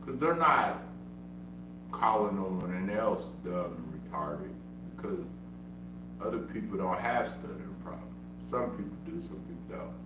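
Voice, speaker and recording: A man's voice: low voice, expressive, unhurried. A man who stutters, streaming a casual monologue and repeating himself insistently. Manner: repetitive, insistent, self-defending. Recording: echoey room, far from mic, humming noise.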